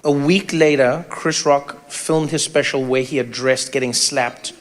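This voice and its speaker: A man hosting a casual comedic podcast chat, animated and laughing, often acting out voices in his stories. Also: medium pitch, a little slow. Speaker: podcast host.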